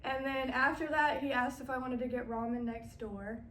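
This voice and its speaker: A woman in her twenties with a drawl, chatting as a podcast guest. Her voice sits a touch high and runs loose and conversational. She sounds set back from the mic in a reverberant room with faint hiss underneath. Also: steady tone, moderate pace, a bit bright. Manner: chatty, laughs while telling stories. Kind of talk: casual storytelling. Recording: echoey room, mic at a distance, faint noise.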